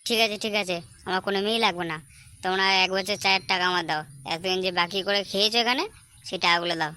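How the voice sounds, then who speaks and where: A man voicing a young male tea seller in an amateur comedy cartoon, grumbling and complaining, quick to take offence. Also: high-pitched, steady tone, sharp tone, clean tone. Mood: indignant.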